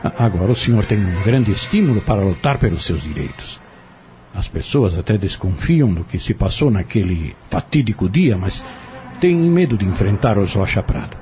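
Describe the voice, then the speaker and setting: A man acting a composed, persuasive, scheming trader in an audio drama, speaking formally and politely with measured phrasing. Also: lowish voice, lively intonation, dark tone, close to an everyday voice. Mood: reassuring, persuasive. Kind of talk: dramatic radio-novela acting. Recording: muffled lo-fi audio drama recording. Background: prominent background music.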